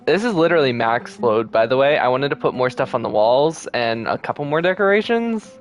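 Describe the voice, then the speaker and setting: A man livestreaming a game, chatting casually with viewers and thinking aloud in a relaxed way. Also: mid-range voice, a little fast. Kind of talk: casual live gameplay chat with viewers. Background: video game music.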